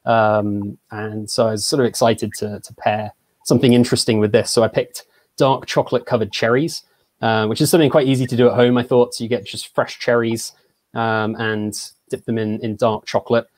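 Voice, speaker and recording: A young English man from Surrey, a brand ambassador presenting in an online tasting, relaxed and hesitant with many fillers. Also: mid-range voice, brisk, dry recording. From England (Surrey). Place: small home room. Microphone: video call.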